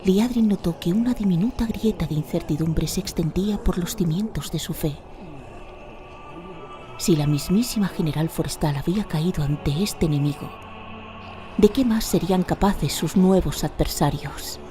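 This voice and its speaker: A woman's voice: on the low side, moderate pace. Female radio drama narrator over music. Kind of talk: dramatic scripted story narration.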